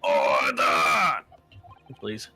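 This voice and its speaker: A man plays a stern game-show-of-justice judge with a bright, forceful voice. He is formal, exasperated and commanding, over faint music. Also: on the low side, wildly swooping pitch, drawn-out words, creaky, heavily acted. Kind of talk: fan dub of a video game.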